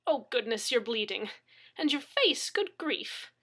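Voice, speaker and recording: A woman's voice: a little high, very animated, unhurried, bright, forceful tone, husky, heavily acted. A woman voicing a kindly, motherly older neighbor woman in an audiobook story, fussing and emphatic.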